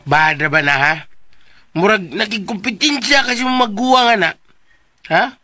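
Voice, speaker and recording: An actor playing a teasing, excitable, rather dim buddy who halts and repeats his words, in a radio drama with faint hiss. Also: high-pitched, heavily acted. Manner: halting, stuttering, repeats words.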